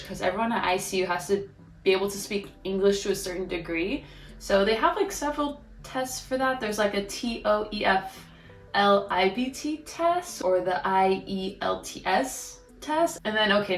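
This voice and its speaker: A young American woman in her twenties talks casually to her viewers, dropping "like" into nearly every sentence. Her voice sits at an ordinary middle pitch. The room around her gives the recording a noticeable echo. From United States. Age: 20s. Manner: chatty, heavy use of "like". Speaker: vlogger. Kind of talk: casual walkthrough. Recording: roomy echo.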